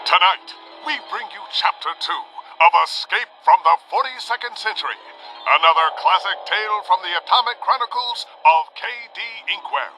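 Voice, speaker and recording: A man's voice: sits high, lively intonation, normal speed, pushed and bright, faint music behind, full character voice, poor audio. A man playing a dramatic, portentous host narrating an old-time radio serial.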